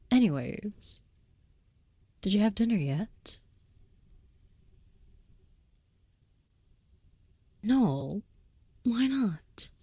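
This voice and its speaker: A low, velvety-dark vampire lover, played by a woman, speaks in a soft, breathy and unhurried way. The phrasing is archaic and courtly, tender and protective, as in an intimate audio roleplay. The recording is close and dry, slightly muffled. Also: no room sound, exaggerated voice. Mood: worried, insistent. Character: devoted, overprotective vampire lover, centuries-old but youthful, courtly.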